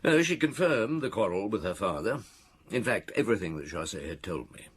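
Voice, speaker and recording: A man playing a world-weary middle-aged chief inspector in an audio drama, with a husky voice and a dry, measured, probing manner. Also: lowish voice, expressive. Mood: reflective recounting. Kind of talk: radio drama acting, dialogue and reminiscence.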